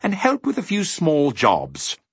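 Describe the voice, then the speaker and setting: Man putting on an over-polite, high-pitched elegant gentleman. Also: wildly swooping pitch, measured pace, slightly rough, dry recording, heavily acted. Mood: polite, cheerful.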